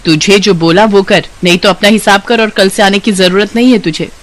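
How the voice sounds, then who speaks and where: A woman's voice: medium pitch, fairly even intonation, a little fast, lightly acted. Woman playing a haughty, mocking rich young woman. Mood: mocking, bossy. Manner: haughty, taunting, bossy.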